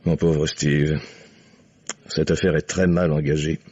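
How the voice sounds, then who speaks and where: A very low, rough-edged voice belongs to an older man, a skeptical lawyer father. He speaks in a measured, sardonic way typical of old radio drama. The sound is close, dry and muffled. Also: flat delivery, darkish tone, no room sound, exaggerated voice. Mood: grave, resigned. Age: middle-aged or older. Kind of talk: 1950s French radio drama acting. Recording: dull, dry vintage radio recording.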